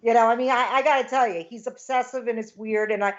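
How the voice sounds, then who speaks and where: A woman's voice: on the high side, steady tone, fast, muffled recording. A woman in her fifties, a guest on a casual livestream chat, talking indignantly in a rush with many fillers. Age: 50s.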